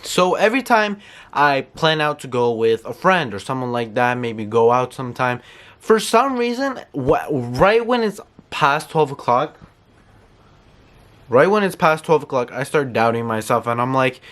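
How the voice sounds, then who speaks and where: A man's voice: mid-range voice, sing-song, measured pace, a bit bright. A teenage boy from New York rambling in a casual home vlog, with a congested, nasal voice and lots of fillers. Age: teens. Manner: rambling, filler-heavy, self-conscious. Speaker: vlogger. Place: home, indoors.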